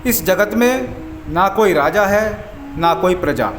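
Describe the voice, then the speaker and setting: A man voicing a serene, humble enlightened sage in a devotional story, teaching in a solemn, deliberate manner. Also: high-pitched, lively intonation, background noise. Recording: echoey voice over music.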